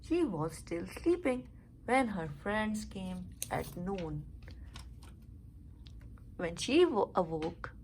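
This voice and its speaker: A woman reading a children's story aloud with expressive, deliberate phrasing. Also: medium pitch, a little hiss or hum, low-quality recording.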